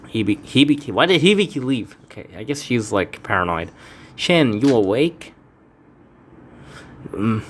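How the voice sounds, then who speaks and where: A man's voice: lowish voice, a bit bright, slight background noise. A man reading a fan game aloud, voicing its characters with casual asides and stumbles. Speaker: let's play fan dubber. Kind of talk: casual game playthrough with voiced dialogue.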